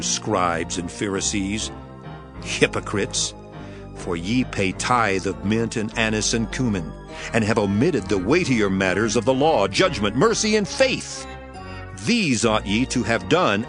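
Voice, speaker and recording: A stern, righteous prophet delivers deliberate, declamatory rebukes in a dramatized scripture reading. His pitch rises and falls dramatically, and music plays clearly beneath him. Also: lively intonation. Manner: declamatory, deliberate archaic oratory. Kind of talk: dramatized King James scripture performance. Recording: music under the voice.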